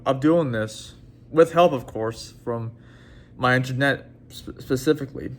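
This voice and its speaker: A man in his twenties talking casually to his viewers in a halting way, with many restarts and repeated words. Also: mid-range voice. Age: 20s. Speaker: vlogger. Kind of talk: casual vlog talk to viewers.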